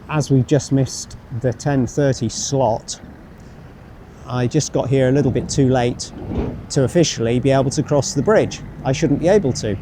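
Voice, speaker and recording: A man giving casual travel commentary as he walks, thinking aloud in a rambling, wry, self-deprecating way. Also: medium pitch. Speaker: travel vlogger. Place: outdoors, walking by a road and canal. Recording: outdoor street noise with passing vehicles. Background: street ambience, vehicles.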